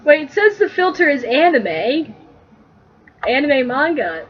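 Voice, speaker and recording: A woman's voice: sits high, big pitch swings, measured pace. A woman co-host in casual comic banter, complaining in an exaggerated, exclamatory way, on a dull lo-fi recording. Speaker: podcast co-host.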